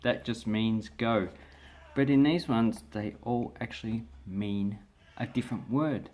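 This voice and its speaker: An Australian man giving a casual spoken lesson to camera, talking in a relaxed, hesitant way with many fillers and restarts as he shows pages from a book. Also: lowish voice, a little slow. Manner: explains by showing pages from a book. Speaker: language vlogger.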